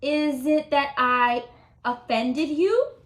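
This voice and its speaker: A woman's voice: high-pitched, expressive, takes it slowly, reverberant. A woman voicing a lovesick male turtle in a storybook poem, sweet and plaintive as he pleads with his beloved. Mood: pleading.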